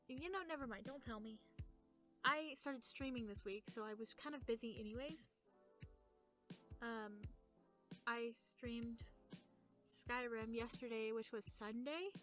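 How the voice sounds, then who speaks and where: High-voiced woman podcaster chatting casually. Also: fairly bright tone. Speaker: podcast co-host. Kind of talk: casual in-character chat, telling personal stories.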